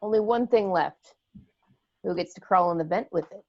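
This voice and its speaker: A woman playing a tough, sardonic spaceship crew member in an amateur table read, creaky voice on a dull lo-fi recording. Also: fairly low pitch, normal speed. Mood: grim, wry.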